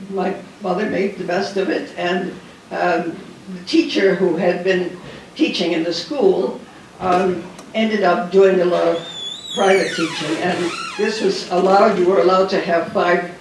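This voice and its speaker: An older man with a high, gravelly voice tells a personal story in a halting, rambling way, full of restarts. He sits back from the microphone, and the hall around him rings with strong echo. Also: slight background noise. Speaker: guest speaker. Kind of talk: personal wartime memoir talk. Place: large hall at a dinner event. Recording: echoey hall heard from a distance.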